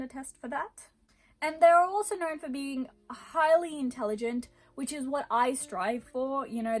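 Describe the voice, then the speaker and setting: A woman vlogger talking casually to camera, rambling and self-deprecating as she thinks aloud. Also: high voice. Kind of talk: casual personal vlog monologue.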